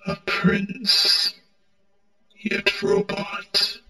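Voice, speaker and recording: A man playing a war-weary, mournful robot prince in a halting, melodramatic monologue. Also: sits high, monotone, bright, forceful tone, reverberant, exaggerated voice, rough recording. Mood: mournful, regretful. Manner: halting, melodramatic, broken phrasing. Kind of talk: dramatic amateur character monologue.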